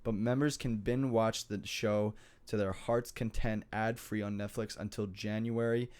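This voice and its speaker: Young male podcast host, congested, slangy and rambling, low-pitched. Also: fairly even intonation, measured pace, darkish tone, smooth. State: stuffy nose. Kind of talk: casual chat.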